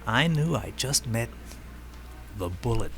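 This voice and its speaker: Gravelly, calm dead soldier ghost. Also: male voice, mid-range voice, takes it slowly, a little hiss or hum, full character voice. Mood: reflective, haunted. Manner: eerily calm, plainspoken. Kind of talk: American radio drama acting. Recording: vintage radio recording, music behind.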